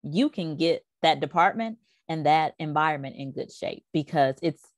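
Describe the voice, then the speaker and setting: A middle-aged woman with a lowish voice talks as a podcast guest about her coaching work. She is emphatic and lively, often putting on little voices when she quotes people. The sound is close and dry with no room echo. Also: normal speed. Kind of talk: casual podcast conversation.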